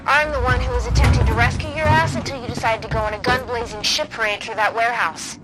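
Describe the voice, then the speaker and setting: A woman's voice: on the high side, steady tone, quick pace, close to an everyday voice. A woman playing a tough, sardonic ally in a video game, commanding and urgent in a naturalistic style. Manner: commanding, sarcastic. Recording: clearly noisy, ambient vehicle sound.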